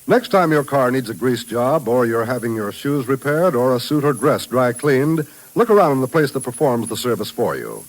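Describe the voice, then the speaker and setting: A man's voice: medium pitch, very animated, normal speed, few pauses, poor audio. An American man announcing on 1950s radio, reading a scripted message with formal, emphatic delivery. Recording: vintage 1950s radio recording.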